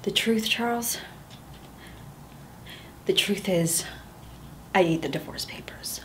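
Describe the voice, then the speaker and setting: A woman's voice: sits high, expressive, unhurried, slight background noise. A woman performing a stage monologue as a young wife who pleads with her husband not to divorce her, laughing through near tears and rambling. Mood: hushed, pleading.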